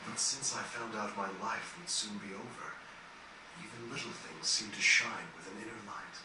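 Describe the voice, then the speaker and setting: Man playing a low-voiced melancholy dying composer, echoey. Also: natural voice. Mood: wistful, somber. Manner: reflective, measured, earnest, formal phrasing. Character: gentle, melancholy dying male composer, introspective and philosophical. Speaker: voice actor in a fantasy game. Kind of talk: dramatic game cutscene dialogue. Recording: distant, echoey, noisy game capture.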